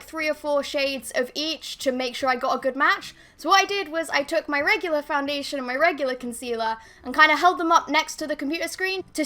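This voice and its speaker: A young woman in her twenties, vlogging a makeup review at her desk at home, her voice a bit croaky from being ill. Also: high-pitched, sharp tone. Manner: chatty, rambling, self-deprecating. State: slightly croaky from illness. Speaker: beauty vlogger. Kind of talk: casual makeup review to camera. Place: bedroom with bookshelves, indoors. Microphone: fixed camera at desk.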